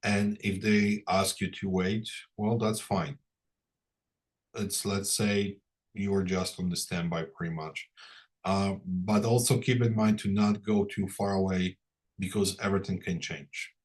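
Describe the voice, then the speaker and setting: A man with a low-pitched, somewhat rough and husky voice leads an informal lesson. He speaks conversationally and reassures his listeners as he explains. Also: little pitch movement, a little slow. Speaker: instructor training interpreters.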